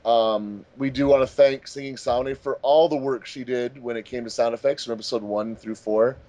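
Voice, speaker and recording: A man's voice: mid-range voice, steady tone, moderate pace, mellow, highs cut off. A man hosting a casual group commentary, talking in a rambling, hesitant and complimentary way. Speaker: host of a fandub commentary track.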